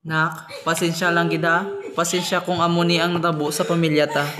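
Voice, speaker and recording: A man's voice: a little high, little pitch movement, a little fast, a loud crowd, lightly acted. A man playing an ailing father, stubborn but loving, in an amateur radio drama. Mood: forgiving, sorrowful. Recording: loud music over the voice.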